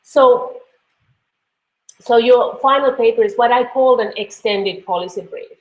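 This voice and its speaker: A woman's voice: a little high, measured pace. A woman with a Finnish accent giving an online course lecture in a loose, conversational way, with many fillers and restarts. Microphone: computer mic on a video call. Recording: echoey room.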